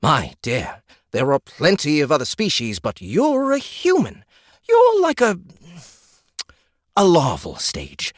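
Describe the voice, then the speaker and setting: A man's voice: on the high side, wildly swooping pitch, slow pace, long pauses, dry recording, clean studio sound, heavily acted. Man voicing a pompous lizard guide, swooping delivery. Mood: condescending. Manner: lecturing, condescending, theatrical. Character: pompous, exasperated ninety-one-year-old male lizard guide, youthful-looking. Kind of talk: audiobook character acting.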